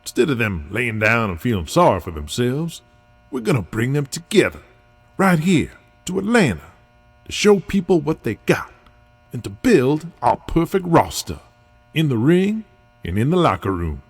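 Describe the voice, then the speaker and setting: A man's voice: mid-range voice, sing-song, moderate pace, slightly rough. A man playing a brash, persuasive wrestling booker with big plans in an audio drama, talking in a folksy, salty way. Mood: rallying. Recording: music bed under the voice.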